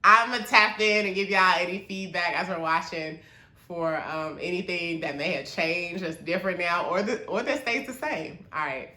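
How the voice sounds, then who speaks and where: A woman's voice: fairly low pitch, moderate pace, sharp tone. Woman, small business owner, chatty and encouraging, casual talk to camera, echoey room. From Maryland, US. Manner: chatty, loose and encouraging, uses lots of fillers.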